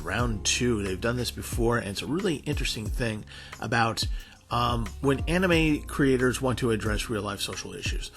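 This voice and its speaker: A man's voice: medium pitch, a bit bright. A man giving a casual, rambling announcement as a show co-host, informal and often stumbling over repeated words. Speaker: online convention panelist and show co-host.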